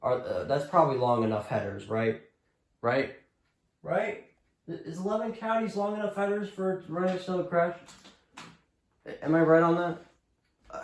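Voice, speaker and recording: A man's voice: fairly high pitch, a little mellow. A man casually showing off a gadget on a vlog, unscripted, hesitant and repetitive. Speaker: weather radio hobbyist vlogger. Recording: echoey room with background hiss.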